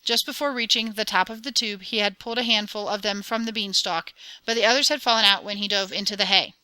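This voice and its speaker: A woman reading a storybook aloud in an expressive, dramatic way, close to the mic. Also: mid-range voice, fast, smooth, no room sound, rough recording. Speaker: audiobook narrator.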